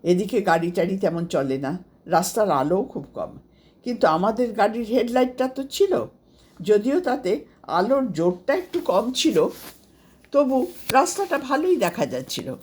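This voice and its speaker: A woman reading a scripted first-person story aloud as its storyteller, with an expressive delivery. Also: medium pitch, normal speed, breathy edge. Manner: expressive, acts out quoted dialogue.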